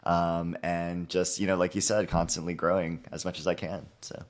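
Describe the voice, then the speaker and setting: A very deep-voiced man from Hawaii in his thirties talks easily as a podcast guest. His speech is upbeat and loose, strung together with "you know" and "like". He sounds relaxed and conversational. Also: normal speed. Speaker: guest author. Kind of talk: casual interview conversation.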